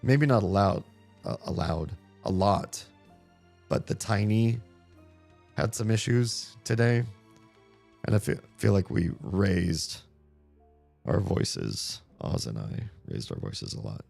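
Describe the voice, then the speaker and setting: A man's voice: deep voice, very animated, takes it slowly, vocal fry, pauses often, a little mellow, faint music behind. A man in his thirties, a streamer chatting casually while playing a game, rambling with lots of fillers as he loses his voice. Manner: rambling, easygoing, many fillers. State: losing his voice. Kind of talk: casual game livestream chat.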